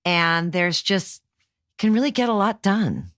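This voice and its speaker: A woman's voice: on the low side, big pitch swings, a little slow, a bit bright, no room sound, clean studio sound. A woman hosting a conversational interview, asking questions and chatting with her guest. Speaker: interview host.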